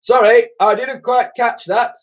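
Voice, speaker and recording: A man voicing an overly polite, pompous male naval captain calling over a loudhailer. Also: very high voice, fairly even intonation, measured pace, voice pushed up. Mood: fussy polite. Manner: overly polite, keeps asking to repeat. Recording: lo-fi recording.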